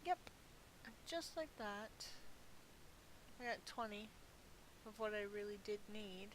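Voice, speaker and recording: A woman's voice: mid-range voice, lively intonation, slow pace, poor audio. A middle-aged woman giving casual, rambling gameplay commentary in a chatty, self-amused way, as if thinking aloud. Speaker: gaming video host. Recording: clearly audible background hiss.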